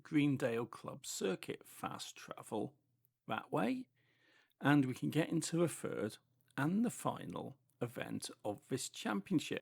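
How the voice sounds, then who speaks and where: A British man with a hoarse, fading voice and hissy S sounds, giving casual running commentary on a racing game as he drives. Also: medium pitch. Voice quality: heavy sibilant S sounds. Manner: chatty running commentary while driving. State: voice going hoarse from a cold. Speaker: gaming channel host.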